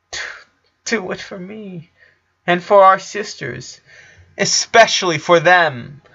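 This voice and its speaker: A man voicing a tearful, dying eleven-year-old boy in a storybook reading, halting and pleading, on a dull recording. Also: fairly high pitch, creaky, bright, forceful tone, voice pushed up, full character voice.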